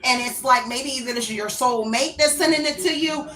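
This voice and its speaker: Woman tarot reader, bright and sharp-toned, chatty and meandering, talks to viewers as "baby.". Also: high-pitched, moderate pace, reverberant. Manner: rambling and unsure, often adds "I don't know". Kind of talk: casual spoken tarot reading to viewers.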